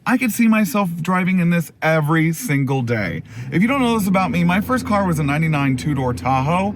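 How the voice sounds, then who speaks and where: A man hosting a casual, chatty car review in an animated, opinionated, enthusiastic way, his voice going a little hoarse. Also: on the high side, brisk, noisy background. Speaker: car review video host.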